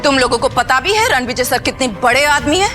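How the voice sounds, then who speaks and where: A loyal, indignant female defender. Her very high voice gives orders in a dramatized story, with clear music behind. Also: expressive, brisk. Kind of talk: Hindi audio drama acting.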